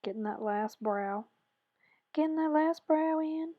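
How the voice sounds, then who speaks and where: A woman with a hoarse, raspy voice chatting through a makeup tutorial voice-over, rambling and correcting herself as she goes. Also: high voice, a little slow, no room sound, dull audio. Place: voice-over recorded separately from the video.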